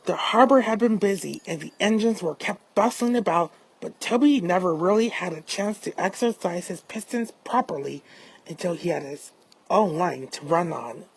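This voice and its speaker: Casting: female amateur storybook narrator, low-pitched and slightly husky, occasionally trips over lines. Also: moderate pace, rough recording. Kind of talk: read-aloud children's story narration.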